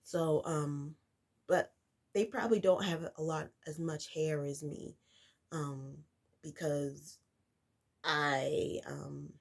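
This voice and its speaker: A woman's voice: low voice, steady tone, takes it slowly, pauses often. A woman vlogging casually to camera, congested and nasal from a cold, rambling with lots of fillers and restarts. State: stuffy nose from a cold.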